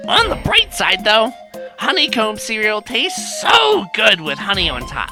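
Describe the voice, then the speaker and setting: Young male cartoon monkey female performer, excitable wisecracker, extremely expressive pitch, clear background music. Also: measured pace, exaggerated voice. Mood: chatty. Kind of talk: scripted comic cartoon dialogue.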